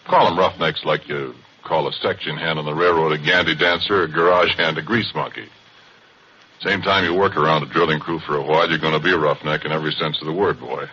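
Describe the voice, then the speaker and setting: An actor playing a middle-aged former oil roughneck who tells his story in a folksy, chatty monologue, in 1940s radio acting style on a muffled broadcast with hiss. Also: a bass voice, bright, forceful tone. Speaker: narrator.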